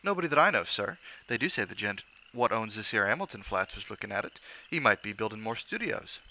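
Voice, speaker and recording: A man's voice: on the low side, very animated, brisk, pushed and bright, a little hiss or hum, full character voice. A man voicing a young, nervous male bellboy with a Cockney accent who says "sir" constantly and drops his g's. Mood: agitated. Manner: dropped g's, "sir" after every phrase. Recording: dull lo-fi audio.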